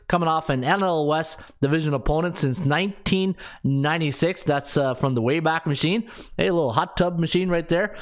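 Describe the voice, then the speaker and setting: A man in his fifties hosting a sports betting podcast, breezy and jokey as he rattles off stats with plenty of ums and uhs. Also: on the high side. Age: 50s. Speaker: podcast host and sports handicapper.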